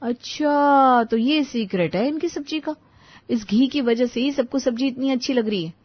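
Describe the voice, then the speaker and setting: A woman's voice: sits high, moderate pace, no echo, muffled recording. A woman voicing a scheming young elder daughter-in-law in a cartoon family story.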